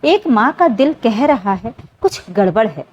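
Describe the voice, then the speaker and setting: A woman's voice: fairly high pitch, sing-song, unhurried, darkish tone, slight background noise. A woman narrator voicing an anxious, protective widowed village mother in a story, earnest and tender. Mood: worried. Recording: dull, lo-fi sound.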